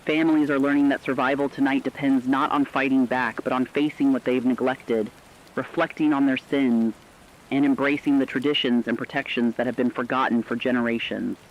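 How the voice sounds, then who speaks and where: A man's voice: medium pitch, steady tone, fairly quick, close to an everyday voice. Dull lo-fi broadcast, foreboding man as radio reporter. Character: solemn radio field reporter relaying eerie eyewitness accounts. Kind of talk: scripted horror radio broadcast narration.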